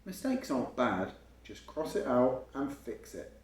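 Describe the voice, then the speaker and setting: Man reading as a daydreaming schoolboy, swooping pitch. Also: huge pitch swings, a little slow, vocal fry, full character voice. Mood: pondering. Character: daydreaming schoolboy, earnest and unsure about writing. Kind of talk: expressive picture-book reading. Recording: roomy, echoing home recording.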